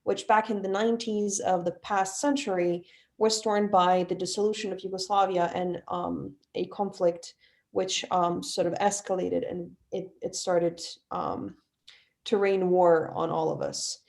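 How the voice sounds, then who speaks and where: A woman's voice: lowish voice, fairly even intonation, unhurried, creaky, darkish tone. Earnest woman in her twenties, from Bosnia. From Bosnia and Herzegovina. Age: 20s. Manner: earnest, fluent with fillers. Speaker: panelist, facilitator. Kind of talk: online panel talk.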